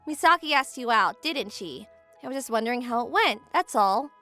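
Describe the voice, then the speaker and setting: Woman acting high-pitched huffy schoolgirl Yuri. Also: expressive, moderate pace, sharp tone, soft background music. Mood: defensive huffy. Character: Yuri, tsundere teenage girl, jealous childhood friend in denial. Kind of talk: manga dub romcom acting.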